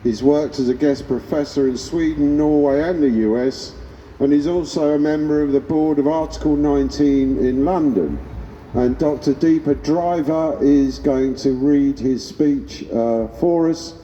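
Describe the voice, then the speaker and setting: A man hosting an outdoor vigil, introducing speakers over a microphone in an informal, halting way. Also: a little high, dark tone. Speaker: event host introducing speakers. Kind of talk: informal public announcement. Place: outdoors at a vigil by prison gates. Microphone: handheld microphone. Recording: muffled, echoey, noisy outdoor PA.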